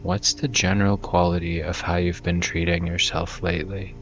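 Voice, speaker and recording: A man guiding a meditation in a gentle, soft-spoken, conversational way, leaving room between phrases. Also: low voice, level tone, moderate pace, highs cut off, soft background music. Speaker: meditation guide.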